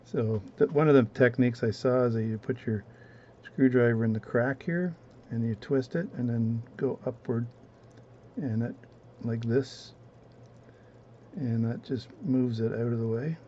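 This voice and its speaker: A man with a low voice talking through his watch repair at a home workbench, relaxed and rambling as he narrates each step. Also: a little slow, dull audio, a little hiss or hum. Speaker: watchmaking hobbyist demonstrating. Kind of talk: casual bench demonstration, talking while working.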